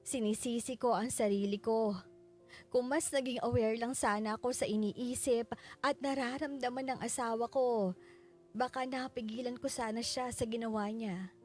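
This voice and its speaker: An actress voices a bereaved young Filipino wife narrating her loss in a radio drama. Her voice sits a little high and moves in measured, sorrowful phrases, with music playing underneath. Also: fairly even intonation, a little mellow, natural voice. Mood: mournful, remorseful. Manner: measured, mournful. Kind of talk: scripted dramatic first-person narration.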